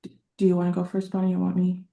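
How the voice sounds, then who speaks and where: Woman, community organizer, gravelly low voice, flat delivery, halting with fillers, echoey room. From San Antonio, Texas. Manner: halting, many fillers and pauses. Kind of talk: webinar panel talk.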